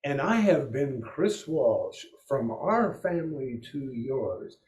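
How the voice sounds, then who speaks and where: A man playing an earnest 1940s radio co-host, speaking in a theatrical, deliberate way. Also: mid-range voice, sing-song, darkish tone. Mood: showmanlike. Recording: roomy, echoing sound.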